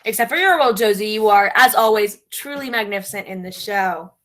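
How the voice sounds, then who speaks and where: A woman's voice: medium pitch, animated, a little fast, a bit bright, natural voice. A woman playing a pompous, bossy radio playwright who thinks herself a genius, speaking in a grandiose, imperious, emphatic way in a theatrical comedy. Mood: brisk, commanding. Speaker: actress in a stage comedy. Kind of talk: theatrical stage comedy acting.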